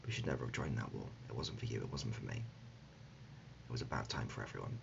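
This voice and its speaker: A man playing an absurd, deadpan dad in an ASMR comedy roleplay, speaking haltingly and gently, often dropping to a whisper. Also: a bass voice, vocal fry, voice pushed down, full character voice. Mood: grave reminiscing. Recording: dull lo-fi audio, loud background noise.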